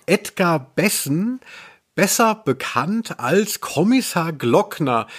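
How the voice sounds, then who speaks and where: A man hosting a podcast, chatting casually about audio dramas. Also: fairly high pitch. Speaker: podcast host.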